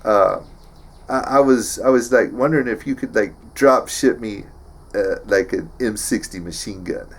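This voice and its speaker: A man playing a slow-witted, tough action-movie hero who stammers and mumbles through favours and boasts in a comedy sketch. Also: lowish voice, slightly rough. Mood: dim-witted bragging. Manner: halting, mumbling, stammering braggadocio.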